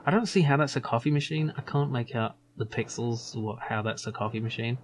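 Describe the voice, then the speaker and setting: A man's voice: medium pitch, a little fast, slight background noise. A man hosting a casual game playthrough, chatting in an amused way and reading the hero's lines. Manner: chatty and amused, with frequent laughs and fillers. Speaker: gameplay video host. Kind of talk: casual let's-play commentary and reading lines.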